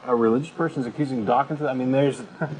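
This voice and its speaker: A man's voice: mid-range voice, fast talker, darkish tone, low-quality recording. A man co-hosting a talk show, offering opinionated commentary in a conversational style. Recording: faint background noise.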